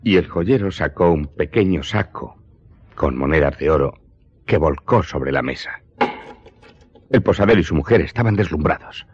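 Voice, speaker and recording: A man's voice: very deep voice, expressive, normal speed, long pauses, slightly rough, no room sound, dull audio, exaggerated voice. A man playing a wary smuggler who recounts his past as dramatic narration in a radio play. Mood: narrating, measured.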